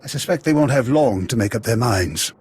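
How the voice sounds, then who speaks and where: An actor playing a dignified, earnest leader in a video game, husky and gravely formal. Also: male voice, mid-range voice, lively intonation, a little fast, darkish tone. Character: earnest, dignified male Republic leader giving briefings. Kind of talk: video game cutscene acting.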